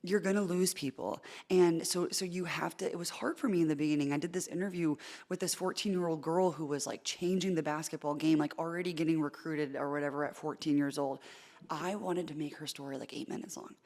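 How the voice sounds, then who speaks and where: A thirty-year-old woman, a sports reporter, talking casually in an interview, deep-voiced, lively with lots of likes and restarts. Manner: animated, quick asides with filler likes and repeated starts. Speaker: sports reporter and in-arena host.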